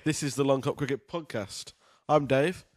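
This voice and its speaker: A man co-hosting a casual podcast, relaxed and teasing, with dry one-liners. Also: mid-range voice, moderate pace, no echo. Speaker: podcast co-host. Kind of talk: casual chat.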